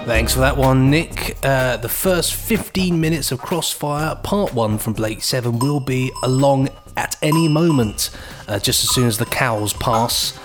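A man's voice: medium pitch, measured pace, hardly any pauses, over music. A British man co-hosting a podcast in casual comic chat, with a whimsical, rambling manner. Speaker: podcast co-host.

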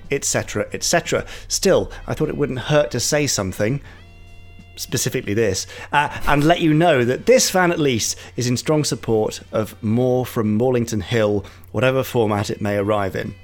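A man's voice: medium pitch, brisk, faint music behind. A man co-hosting a podcast, reading a listener's letter in a playful, giggly way. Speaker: podcast co-host.

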